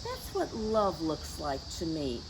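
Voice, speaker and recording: A woman reading a picture book to young children in a gentle, teacherly way, with chatty asides to her listeners. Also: deep voice, huge pitch swings, takes it slowly, noisy background. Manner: gentle teacherly address, tag questions to the listeners. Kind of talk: read-aloud story time for young children with chatty asides. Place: outdoors.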